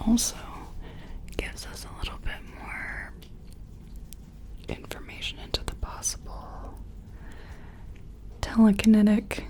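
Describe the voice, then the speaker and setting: A woman playing a timid, awed scientist in a sci-fi ASMR roleplay, whispering and murmuring gently with hesitant, musing phrasing. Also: medium pitch, close to an everyday voice. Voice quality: breathy whisper mixed with soft, creaky murmur.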